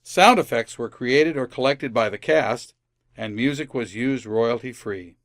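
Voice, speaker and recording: A man's voice: mid-range voice, unhurried, fairly bright tone, no echo, studio quality. A man reading scripted closing credits as the announcer of a radio drama. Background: music.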